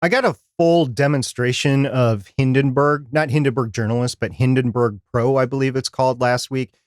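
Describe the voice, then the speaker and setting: A man's voice: mid-range voice, fairly even intonation, moderate pace, dry recording, studio quality. A man co-hosting a podcast, giving his opinions in a casual live discussion. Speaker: podcast co-host.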